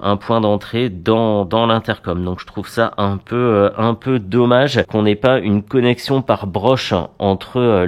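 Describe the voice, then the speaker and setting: A man's voice: fairly low pitch, clean tone. A man presenting a product review in a conversational, matter-of-fact, unscripted way.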